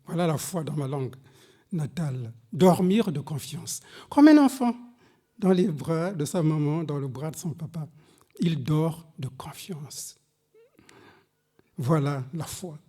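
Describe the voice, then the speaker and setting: A man's voice: expressive, warm tone. A man preaching a sermon in French, which is not his first language. He speaks with emphatic, swooping delivery, reads scripture aloud and addresses the congregation directly. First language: a language other than French. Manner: slow, emphatic preaching with dramatic pitch swings, reading scripture aloud. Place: church service.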